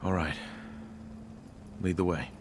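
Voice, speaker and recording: A man's voice: deep voice, fairly even intonation, takes it slowly, a little hiss or hum. A male actor playing a shocked, grieving husband and father in a video game, serious and understated. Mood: subdued, resolute. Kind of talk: video game character acting.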